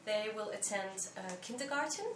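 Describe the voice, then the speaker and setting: A young woman from Belgium explains things calmly and carefully, pausing often to pick her words. She sounds like she is in a roomy, echoey space. A faint hiss sits under her voice. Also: medium pitch, distant mic. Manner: thoughtful, measured, with frequent pauses and fillers. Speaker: interviewee, volunteer. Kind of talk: explanatory interview answers. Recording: echoey room, faint background noise.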